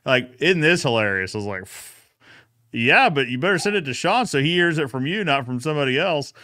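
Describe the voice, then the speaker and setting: A man's voice: fairly high pitch, animated, a little fast, bright, forceful tone. A man hosting a podcast recap in a casual, folksy, conversational way. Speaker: host of a podcast recap show.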